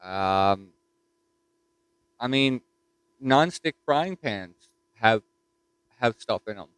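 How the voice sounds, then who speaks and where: Man, newfoundland accent, ham radio chat, smooth clear voice, dry close sound, folksy. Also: mid-range voice, expressive, drawn-out words, a bit bright, dry recording, rough recording. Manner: chatty, folksy, restarts and filler words. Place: home radio shack. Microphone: desktop mic.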